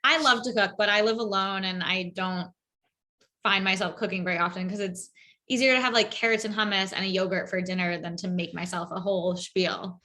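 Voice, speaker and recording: A woman in her thirties, a podcast guest, talking in a casual, relaxed way. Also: medium pitch, fairly quick. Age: 30s.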